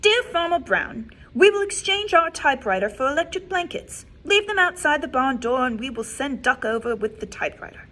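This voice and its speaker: A woman's voice: sits high, very animated, fairly quick, few pauses, heavily acted. A woman voicing bossy typing cows. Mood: demanding. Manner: loud, emphatic letter-reading voice. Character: demanding typing cows writing notes.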